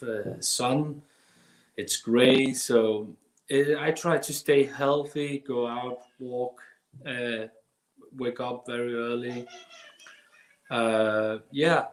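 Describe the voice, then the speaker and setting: A man in his thirties from Iraq, speaking in a halting way with many restarts as a guest filmmaker on a video call. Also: mid-range voice, reverberant, low-quality recording. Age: 30s. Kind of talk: casual interview over video call. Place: home during lockdown.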